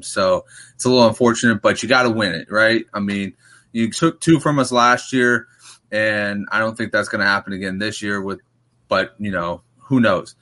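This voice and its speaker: A man chatting about sports as a podcast guest, measured and hedging, with many "um"s. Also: on the low side, sharp tone. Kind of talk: casual sports chat.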